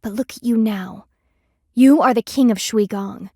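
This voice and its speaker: Game role: stern panda army lieutenant, woman, highish voice, formal and imperious, dryly disdainful, very dry close sound. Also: unhurried, a little mellow, no room sound. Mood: earnest. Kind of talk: video game voice acting.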